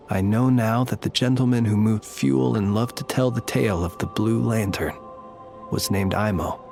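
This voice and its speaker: A man voicing a solemn, gentle and courteous guardian of the dead in a video game, speaking formally and with reverence. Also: medium pitch, vocal fry, close to an everyday voice.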